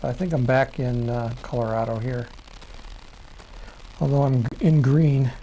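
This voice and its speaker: A middle-aged man from Chicago giving a casual screen-share demo of rocketry software, rambling and correcting himself as he goes. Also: medium pitch, steady tone, moderate pace, a little hiss or hum, low-quality recording.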